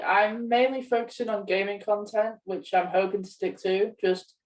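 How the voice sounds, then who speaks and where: A woman co-hosting a podcast in a casual, conversational style. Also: medium pitch. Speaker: podcast co-host, gaming video creator. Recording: echoey room, distant from mic.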